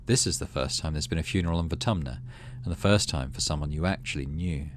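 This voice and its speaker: A man hosting a gaming video, reading story text aloud and adding low-key, muttered asides in casual commentary. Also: quick pace, background noise. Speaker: gaming channel host.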